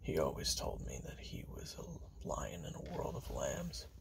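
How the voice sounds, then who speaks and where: A man playing a weary, grim assassin who records his memoirs as a slow, halting confessional monologue in a gravelly, breathy half-whisper. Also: rumbling low, wildly swooping pitch, exaggerated voice. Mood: grim, reflective. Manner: slow, halting, hushed, with long pauses.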